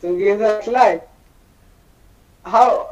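A man's voice: high-pitched, extremely slow, darkish tone. A young man on a random video chat, teasing and insistent, calling the other person bro. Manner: mocking, insistent, calls people bro. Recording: dull lo-fi webcam audio.

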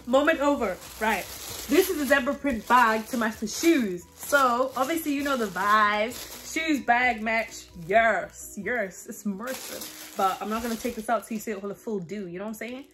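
Brief: young woman, fashion haul vlogger, bubbly and chatty, slightly high voice, faint music behind. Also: normal speed. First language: not English. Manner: animated, chatty, laughs often, addresses viewers as guys. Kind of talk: casual haul try-on vlog. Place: home living room, indoors.

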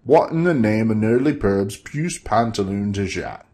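Man putting on a blustering herald, pompous, loud, lively voice. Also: on the low side, measured pace.

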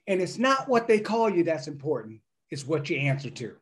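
A man speaking in a measured, preacherly cadence on a video call panel. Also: a little high, very animated. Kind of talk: reflective panel discussion. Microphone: webcam on video call.

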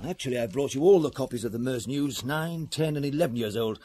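A man's voice: medium pitch, animated, fast, warm tone, slightly rough. A man acting as a helpful, deferential newspaper archive clerk in a radio drama, courteous and slightly hesitant.

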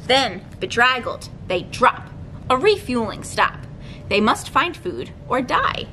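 Slow-paced young woman reading to children. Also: on the high side, very animated, sharp tone, a little hiss or hum. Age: young adult. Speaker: children's librarian leading storytime. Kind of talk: read-aloud picture book storytime for young children. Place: library room with bookshelves, indoors.

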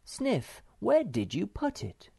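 Man doing a high, fretful troll mother. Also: wildly swooping pitch, takes it slowly, gravelly, warm tone, breathy edge, full character voice. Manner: anxious, sighing. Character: motherly female troll, fretful, sighing, gentle.

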